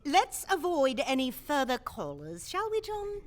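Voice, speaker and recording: An actress playing a prim, skeptical British news presenter in a comedy radio drama, proper and disapproving. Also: female voice, sits high, wildly swooping pitch, measured pace, exaggerated voice.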